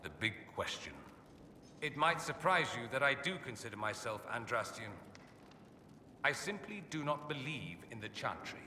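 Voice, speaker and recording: A man's voice: medium pitch, very animated, normal speed, distant mic, natural voice. Man doing a haughty witty fantasy mage for a game, arch and sardonic, really swoopy delivery, big echoey room w/ noise behind. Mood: reflective, sincere. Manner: suave, sardonic, theatrically arch. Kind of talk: video game character dialogue. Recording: echoey hall, clear background ambience noise.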